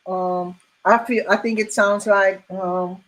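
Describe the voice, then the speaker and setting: A woman's voice: medium pitch, fairly even intonation, unhurried, poor audio. A woman chatting casually, often laughing and giving short backchannels. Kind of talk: casual chat.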